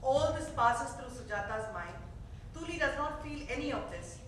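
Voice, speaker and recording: A woman's voice: sits high, animated, moderate pace. A woman reading stage directions as the narrator of a staged play reading. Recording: echoey theater from far off, faint noise.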